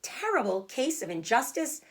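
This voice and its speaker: A woman's voice: sits high, very animated, normal speed, breathy edge, voice pushed up, exaggerated voice. A woman reading a storybook as a distressed, defiant eight-year-old farm girl, pleading and indignant.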